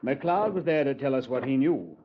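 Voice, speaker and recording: Actor playing a police commander, radio play, clipped and questioning, dull lo-fi old transcription. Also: male voice, mid-range voice, lively intonation, moderate pace, noisy background, close to an everyday voice. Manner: clipped, questioning, prone to hesitant "uh"s. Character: measured male police commander, brisk and questioning. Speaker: Scotland Yard commander narrating the case. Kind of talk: 1950s radio drama acting.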